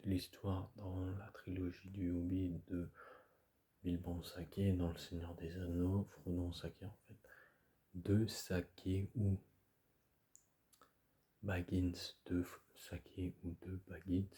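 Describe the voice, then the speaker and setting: A man talks casually to camera, hoarse and stuffy from a cold. His voice sits very low and he speaks slowly, stopping and restarting often. The chat wanders, full of fillers. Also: completely flat delivery, mellow. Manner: hesitant, rambling, many fillers and restarts. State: hoarse, stuffy-nosed, coughing. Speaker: video creator.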